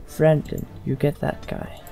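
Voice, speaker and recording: A man doing in-character roleplay commentary for a let's play, speaking in a measured way as the leader of a fantasy party. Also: warm tone. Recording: game music behind the voice. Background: fantasy video game music.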